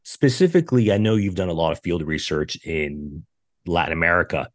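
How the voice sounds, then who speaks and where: A man's voice: low voice, fairly quick, dry recording, studio quality. A man hosting a podcast, asking long, rambling questions with restarts. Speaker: podcast host. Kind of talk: casual interview questions.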